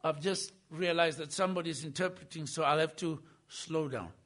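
A man preaching a sermon as a visiting preacher, emphatic, swinging between loud bursts and quiet asides. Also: fairly high pitch, brisk. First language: not English.

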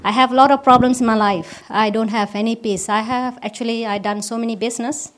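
A woman from Malaysia, giving a personal testimony at a church service in halting English with restarts. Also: fairly high pitch, steady tone. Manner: halting, with restarts and simple non-native grammar.

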